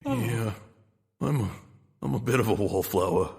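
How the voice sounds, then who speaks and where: A man's voice: lowish voice, animated, moderate pace, gravelly, a little mellow. A man playing a bashful, awkward henchman who rambles hesitantly in a comic audio drama. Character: bashful, lovelorn male gang henchman, casually gruesome.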